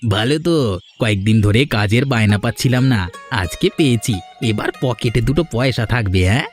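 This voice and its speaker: A man's voice: medium pitch, fairly quick, soft background music, lightly acted. Man playing a simple, greedy village workman. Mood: puzzled, content. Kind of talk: cartoon voice acting.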